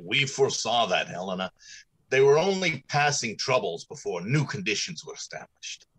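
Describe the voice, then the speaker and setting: A man's voice: medium pitch, very animated, normal speed, fairly bright tone, breathy edge. A middle-aged man playing a confident, dismissive factory director in old-time radio drama style. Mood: breezy assurance. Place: small room at home, on a video call.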